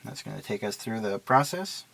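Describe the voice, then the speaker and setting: A man's voice: lowish voice, expressive, normal speed, vocal fry, fairly bright tone, a little hiss or hum. A man giving a casual, unscripted product walkthrough in a matter-of-fact, explanatory way. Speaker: product reviewer and demonstrator.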